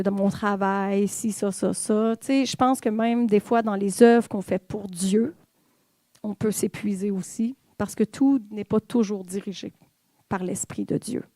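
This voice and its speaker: A woman's voice: mid-range voice, a little mellow, dry recording. A woman with a Québécois French accent giving an informal, conversational sermon in church, with fillers and the odd whispered aside. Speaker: church speaker.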